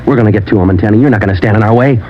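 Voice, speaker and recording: A terse, dogged police detective played by a man with a very low voice. He talks quickly and flatly in clipped, no-nonsense phrases, in the style of a vintage radio crime drama. The recording is dull and old, with music. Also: slight background noise, lightly acted. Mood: stern pressure. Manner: deadpan, clipped, matter-of-fact. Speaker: narrator and lead detective. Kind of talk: 1940s-50s radio police drama acting, clipped narration.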